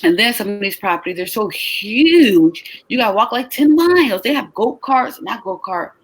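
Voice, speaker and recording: A woman who is tired and short on sleep, raspy-voiced, chatting casually and lively as a travel business host. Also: fairly high pitch, moderate pace, low-quality recording. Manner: lively, talks with her hands in her voice, leaning on questions to the listener. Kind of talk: casual chat.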